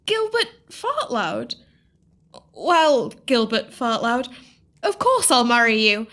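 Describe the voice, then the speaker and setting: A woman's voice: on the high side, big pitch swings, slow pace, fairly bright tone, full character voice. A woman playing a sweet, eager young servant heroine in an audio pantomime. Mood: excited.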